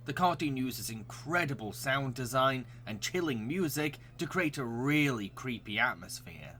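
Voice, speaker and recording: Bright, forceful man presenting cartoon picks. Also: mid-range voice, animated, normal speed. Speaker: presenter of a cartoon recommendation video. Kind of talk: scripted review narration.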